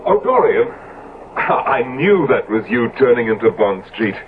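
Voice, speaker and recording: An actor playing an older, genial London portrait painter in a 1970s radio drama, effusive and earnest. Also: male voice, mid-range voice, very animated, a little slow. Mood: delighted, fond. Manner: effusive, exclamatory. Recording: noisy, narrow-band old radio recording.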